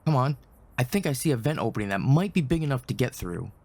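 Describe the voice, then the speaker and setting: A man playing a bold young daredevil, eager for adventure. His delivery is animated, with wide swings of pitch, in audio drama dialogue. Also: quick pace.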